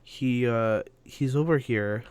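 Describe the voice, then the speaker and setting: A man chatting casually as a podcast co-host, halting and often repeating himself. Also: medium pitch, a little mellow, clear, resonant tone, no echo.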